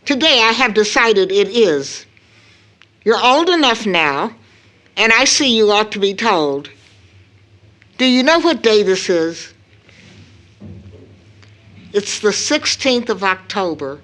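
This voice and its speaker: An actress playing a grave, anguished middle-aged mother in an audio drama, speaking in a solemn, deliberate and emphatic way. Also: medium pitch, animated, fairly bright tone. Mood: stern, solemn. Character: grave, anguished mother baring a long-kept family secret. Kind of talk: stage audio drama acting.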